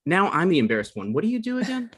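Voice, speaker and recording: A man's voice: sits high, wildly swooping pitch, very fast, a bit bright, voice pushed up, heavily acted. A man reading the part of an awkward, evasive man in his mid-thirties bluffing through a date in a comedy table read. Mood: awkward, defensive.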